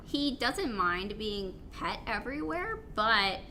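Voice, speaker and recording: A woman's voice: mid-range voice, sing-song, slow, sharp tone, slight background noise. A woman chatting casually, explaining things informally in a hesitant, digressive way. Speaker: panelist on a fan Q&A show.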